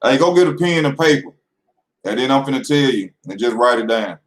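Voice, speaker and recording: A man in his twenties chats to his audience in a loose, rambling way, full of slang and asides. His voice sits at an ordinary pitch for a man. The room around him adds a clear echo. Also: animated, a little fast. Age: 20s. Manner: loose, rambling and slangy, addressing viewers directly. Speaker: boxing channel host. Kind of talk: casual vlog monologue. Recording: echoey room.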